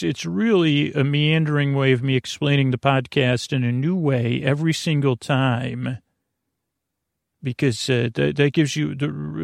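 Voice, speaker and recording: A man with a creaky voice hosting a bedtime podcast in a halting, meandering monologue that keeps digressing and circling back. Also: mid-range voice, no room sound, studio quality. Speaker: podcast host.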